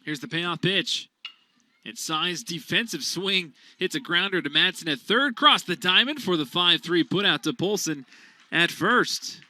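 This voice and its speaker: A man calling a college baseball game as radio play-by-play announcer, steady between pitches and rising loud on big plays. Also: measured pace.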